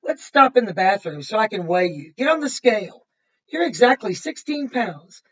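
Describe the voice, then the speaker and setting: A woman, heard through a muffled microphone some way off. She reads a picture book to young children as their teacher, in a mid-pitched voice. She breaks off to point things out, repeat them simply and ask questions. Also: animated, moderate pace, husky, far from the mic. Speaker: kindergarten teacher. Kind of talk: reading a picture book aloud to children with explanatory asides.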